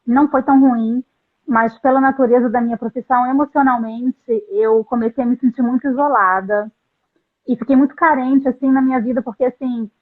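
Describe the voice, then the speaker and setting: A middle-aged woman with a somewhat high voice tells her story in an earnest, halting way, often restarting her sentences. The sound is thin and phone-like, as over a video call. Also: fairly even intonation. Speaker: translator, interview guest. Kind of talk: reflective interview storytelling. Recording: tinny phone-like line.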